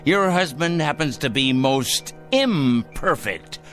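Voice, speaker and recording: An actor in a radio drama playing an obsessive, self-righteous crank, accusing and condescending, with pompous, fussy diction and muttered repetitions. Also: male voice, a little high, animated, takes it slowly, a bit bright, soft background music.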